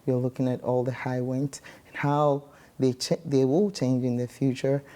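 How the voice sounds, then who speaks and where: Man explaining, dark flat voice. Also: medium pitch. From Ghana. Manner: measured, many ums and pauses. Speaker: guest, climate scientist. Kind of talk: explaining research in an interview.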